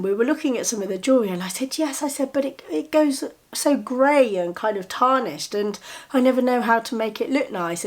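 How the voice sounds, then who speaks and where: Chatty woman with a cold. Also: medium pitch. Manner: chatty, enthusiastic, with soft giggles. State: a little bunged up with a cold. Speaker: vlogger showing gifts. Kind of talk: casual show-and-tell to camera.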